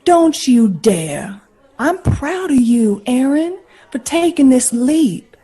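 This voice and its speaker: Glamorous 1940s woman star, poised, husky, slow and very expressive, audio drama. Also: sits high, heavily acted. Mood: concerned, encouraging. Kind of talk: period audio drama acting.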